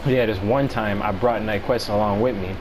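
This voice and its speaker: An American man chatting casually as he tells a story, with slangy, profane and halting delivery. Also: lowish voice, steady tone, background noise. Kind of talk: casual storytelling chat among friends.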